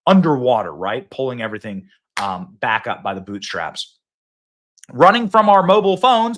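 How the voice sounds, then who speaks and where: A man hosting a live political talk show from a phone, excitable and rambling, often repeating himself. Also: fairly high pitch. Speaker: host.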